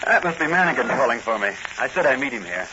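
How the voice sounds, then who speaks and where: A man's voice: mid-range voice, lively intonation, fast talker, close to an everyday voice. An actor playing a polite, keen young rookie patrolman with an American accent, clipped and respectful in 1940s radio drama style. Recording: noisy, dull vintage radio recording.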